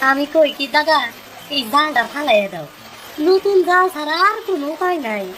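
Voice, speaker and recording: A woman's voice: high-pitched, big pitch swings, moderate pace, fairly bright tone, slight background noise. A woman playing a frustrated younger brother, a poor fisherman in a cartoon story, with a plaintive, complaining manner and rural Bengali dialect. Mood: despairing.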